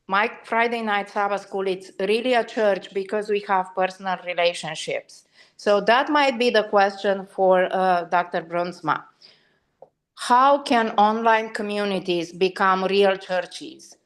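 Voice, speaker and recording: A woman's voice: mid-range voice, a little slow. Earnest woman from Romania. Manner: earnest, personal, many fillers. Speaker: discussion participant. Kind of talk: online discussion comment.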